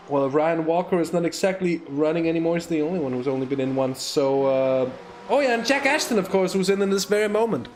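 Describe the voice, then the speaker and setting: A man's voice: sits high, darkish tone, a little hiss or hum. A man commentating a live sim race, chatty and hesitant, with lots of fillers and restarts, on a USB microphone.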